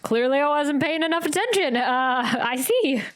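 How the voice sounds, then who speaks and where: A woman's voice: high-pitched, expressive, normal speed. A woman giving casual reaction commentary as she plays a game, hesitantly thinking aloud. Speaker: streamer reacting to a game playthrough. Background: soft game music.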